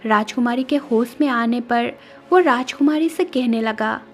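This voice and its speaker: A woman's voice: fairly high pitch, unhurried. A woman giving scripted narration as the storyteller of a cartoon moral story. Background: faint music.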